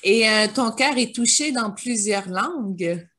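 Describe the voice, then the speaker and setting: A woman with a fairly high voice hosts an interview. She asks her questions admiringly, with many hesitant fillers between her words. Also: lively intonation, unhurried, bright, forceful tone. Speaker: interview host.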